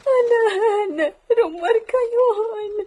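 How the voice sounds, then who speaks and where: A woman's voice: very high-pitched, extremely slow, crowd noise, heavily acted. A woman playing a frightened, tearful young lady of the house in a radio drama, crying as she speaks. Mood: tearful, fearful.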